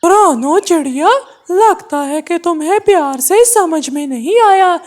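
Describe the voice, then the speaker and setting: A woman's voice: extremely high pitch, lively intonation, a little slow, a little mellow. A woman voicing a mean, stubborn male crow in a cartoon story, taunting and threatening.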